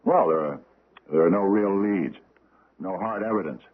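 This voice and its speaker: An actor playing an aging, pompous judge with hesitant, formal phrasing in an old-time radio drama. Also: male voice, sits low, moderate pace, husky. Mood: grave, measured. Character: aging pompous judge, ponderous, secretive and grumbling. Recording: muffled, band-limited vintage radio recording.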